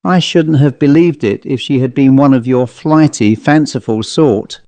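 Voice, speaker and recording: A man voicing a large, boring travelling salesman, pompous and earnestly dull, with a flat, long-winded delivery in a story reading. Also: medium pitch, normal speed, no room sound, natural voice.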